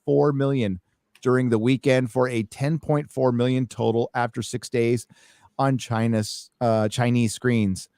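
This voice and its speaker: A man's voice: medium pitch, measured pace, dry recording, studio quality. A man hosting a casual chat, reading news aloud with joking asides and swearing. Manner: animated, swearing, jokey asides.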